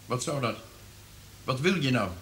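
An actor playing a scheming, grandiose radio director with a commanding manner in a radio play. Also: male voice, medium pitch, expressive, unhurried, fairly bright tone, a little hiss or hum. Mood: impatient, commanding.

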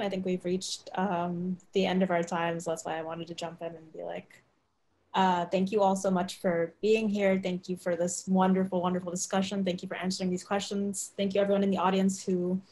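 A woman's voice: lowish voice, a little mellow, rough recording. A woman moderating a panel over a video call, talking chattily with many fillers.

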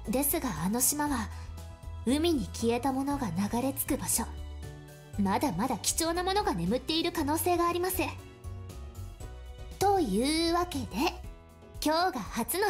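A cheerful young guide for a visual novel, polite and bubbly. She talks very fast in a very high, lively voice. Faint music plays underneath. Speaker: station support staff in visual novel. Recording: game audio with faint music.

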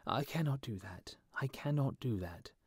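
A man voicing an eager, warm-hearted little male swallow in a storybook reading. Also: medium pitch, lively intonation, a little slow, no room sound, clean studio sound. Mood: distressed.

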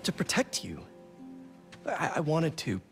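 An actor playing an awkward, well-meaning young superhero in a video game, casual and wry, with music behind him. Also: male voice, fairly high pitch, huge pitch swings, measured pace, heavily acted. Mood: apologetic. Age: young adult. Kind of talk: video game cutscene acting. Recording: loud music behind the dialogue.

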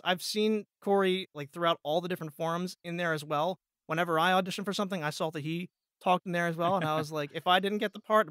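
A man's voice: high-pitched, a bit bright, clear, resonant tone, dry recording, clean studio sound. A young man talking casually and ramblingly as a guest on a podcast about voice acting. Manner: rambling, quotes own thoughts. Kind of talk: casual chat, anecdotes.

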